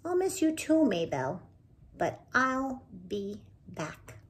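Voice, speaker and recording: Woman voicing a kind storybook bird, male, eager, a bit timid, slow, faint room hiss. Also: fairly high pitch, very animated. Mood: kindly. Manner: eager, obliging, slightly timid.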